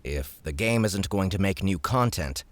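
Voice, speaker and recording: Laid-back would-be villain voiced by a man, low-pitched, matter-of-fact explainer, dry studio sound. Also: animated, a little slow, dry recording. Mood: explaining. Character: laid-back young male gamer playing would-be villain. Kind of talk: audiobook character acting.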